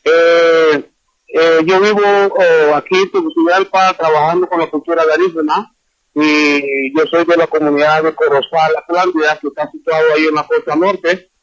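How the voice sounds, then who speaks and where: A man's voice: fairly high pitch, fairly even intonation, normal speed, dry recording. A man from Honduras, a guest musician, telling his story casually in an online class interview, rambling with many fillers over a remote call. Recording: dry, dull lo-fi call audio.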